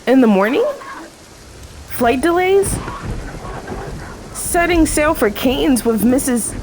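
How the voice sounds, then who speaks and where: Actress as sardonic female waitress, harried, higher-pitched, slow delivery, radio drama, noisy rainy backdrop. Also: a little mellow. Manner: curt and sardonic, flustered and placating with the boss. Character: harried, sarcastic waitress working a night shift alone. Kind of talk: radio play acting.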